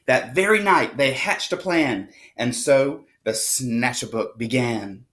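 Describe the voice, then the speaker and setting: A woman reading a rhyming picture book aloud to children with expressive, dramatic storytelling. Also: extremely low pitch, takes it slowly. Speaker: storybook reader.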